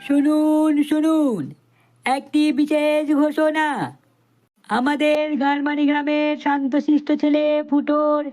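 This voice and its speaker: A woman's voice: high-pitched, level tone, slow pace, darkish tone, faint music behind. A woman voicing a foul-mouthed, irritable village man who declaims announcements in a comedy cartoon. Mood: proclaiming. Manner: declaiming, brash.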